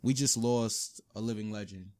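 A man co-hosting a casual podcast, talking informally with slang in a subdued, low-energy way. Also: normal speed, a little mellow. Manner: informal urban slang, frequent "you know what I'm saying". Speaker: podcast co-host. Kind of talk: casual conversational podcast talk.

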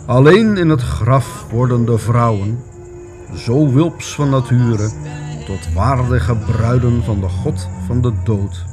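A man playing a solemn high priest who declaims a funeral oration in a melodramatic radio play style. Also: over music, close to an everyday voice. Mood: solemn, booming. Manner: slow, loud, declaiming.

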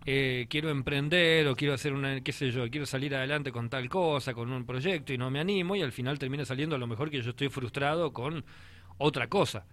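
A man's voice: medium pitch, a little fast, a bit bright. Argentine man chatting, hesitant and repetitive. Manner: hesitant, repeats words as he goes. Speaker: interviewer, host. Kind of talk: casual chat.

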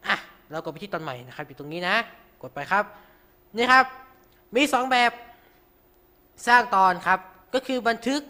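A man's voice: high voice, expressive, moderate pace, long pauses, pushed and bright. A man hosting an informal tutorial, talking through each step with frequent polite particles. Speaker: radio show host.

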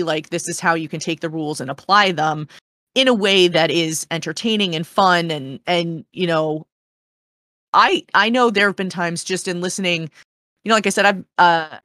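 A woman's voice: lowish voice, a bit bright, dry recording, clean studio sound. A woman in a casual discussion, explaining in an earnest, encouraging and meandering way as a panel guest.

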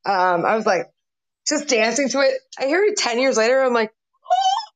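A woman's voice: fairly high pitch, wildly swooping pitch, moderate pace, rough recording. A woman in her late twenties hosting a casual live chat, teasing and sarcastic, swearing freely. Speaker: podcast host.

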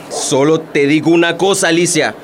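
An actor playing a blustering, domineering, hot-tempered husband in a radio drama. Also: male voice, a little high, measured pace, a little hiss or hum, lightly acted. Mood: threatening. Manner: blustering, menacing.

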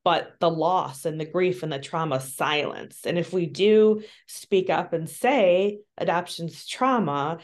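A woman's voice: fairly low pitch, measured pace, few pauses. A woman from Colombia, a social worker, talking frankly as an interview guest, earnest, with frequent ums and restarts. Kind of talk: candid interview talk.

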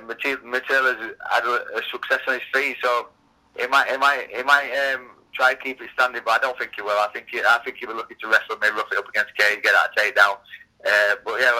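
A man's voice: on the low side, bright, forceful tone, low-quality recording. A man of thirty with a Yorkshire accent, a fighter chatting as a guest, often repeating words as he restarts sentences. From England. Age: 30s. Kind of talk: casual phone interview.

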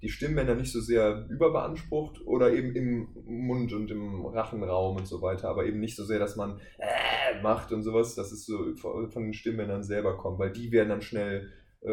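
A man's voice: lowish voice, fairly even intonation, moderate pace, a little mellow. A man speaking as a podcast guest and voice actor, explaining his work in an informal, conversational way. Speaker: guest, voice actor and audio drama maker. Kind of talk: casual podcast interview. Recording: echoey room, faint background noise.

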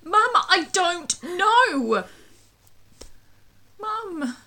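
A woman's voice: very high-pitched, big pitch swings, drawn-out words, sharp tone, heavily acted. A woman playing a frightened, exasperated young daughter in an audio drama. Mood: exasperated, scared. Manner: exasperated, sweary.

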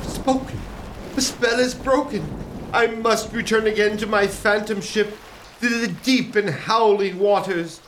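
An actor playing a ghostly, tormented and possessive sea captain in a gothic audio drama, with theatrical, declamatory delivery. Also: male voice, sits high, unhurried, noisy background. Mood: doomful, commanding.

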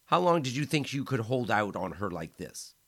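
A man's voice: on the low side, lively intonation, measured pace, sharp tone, voice pushed up, heavily acted. A man voicing a cruel male enforcer who sneers and threatens. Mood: menacing. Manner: threatening, sneering, then falsely soothing.

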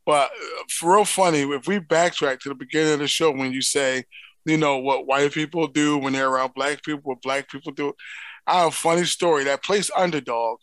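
Man, philly accent, lively storyteller, slightly high pitch, dry close mic. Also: a little fast, no room sound. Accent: Philadelphia. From Philadelphia. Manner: animated storyteller, laughs loudly at his own stories. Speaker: co-host. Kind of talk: casual podcast chat.

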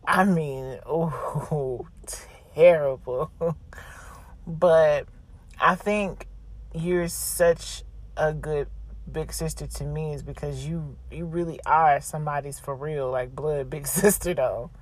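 A woman's voice: deep voice, slow. A woman podcast host chatting casually and confessionally, blunt, slangy and freely swearing.

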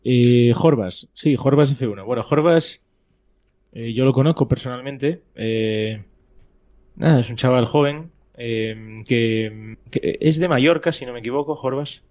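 A man from Spain, a podcast guest chatting casually, with relaxed, hesitant speech full of fillers. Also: medium pitch, measured pace.

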